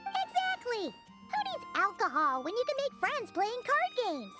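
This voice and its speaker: Very high, chirpy little fairy. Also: female voice, huge pitch swings, unhurried, heavily acted. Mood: upbeat, encouraging. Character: tiny floating fairy companion, chirpy, childlike, eager. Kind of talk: video game character dialogue. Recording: music playing behind.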